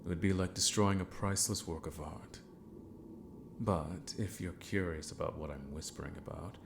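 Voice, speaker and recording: A man playing a calm, scheming villain mentor in a scripted ASMR roleplay, courteous yet menacing, with formal, deliberate speech that often sinks to a whisper. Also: very noisy, heavily acted. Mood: softly menacing. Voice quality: very deep, gravelly. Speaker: villainous mentor training an apprentice.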